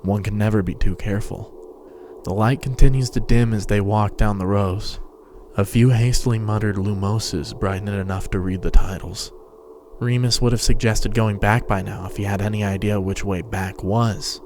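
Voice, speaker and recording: A man's voice: fairly low pitch, steady tone, moderate pace, a little mellow, slight background noise. A man giving a scripted, dramatic narration of a story. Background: music and sound effects.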